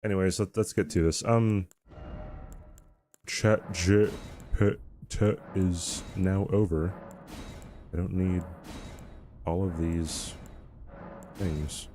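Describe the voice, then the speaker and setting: A man's voice: low voice, slight background noise. A young man streaming a game who chats casually with his audience, often chuckling and stopping to restart his sentences. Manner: chuckling, halting and relaxed.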